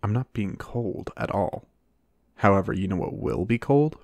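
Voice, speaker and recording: A man playing a reserved, dry-witted American knight in a fantasy ASMR roleplay, speaking in measured, formal banter. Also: on the low side, no echo, lightly acted. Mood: dry teasing.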